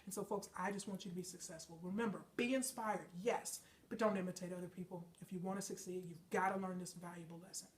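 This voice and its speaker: A woman's voice: on the low side, fairly even intonation, quick pace, few pauses, far from the mic. A woman fitness trainer giving an emphatic motivational talk, congested from a chest and sinus infection, often checking in with her listeners. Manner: emphatic, preachy, keeps checking in with "okay?" and "folks".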